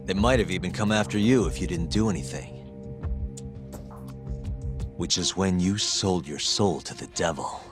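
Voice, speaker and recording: An actor playing a steely investigator in a video game, speaking in a measured, accusing way over music. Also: male voice, sits low, lightly acted. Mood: cold accusation. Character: steely adult male investigator confronting a suspect. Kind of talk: English video game dub acting.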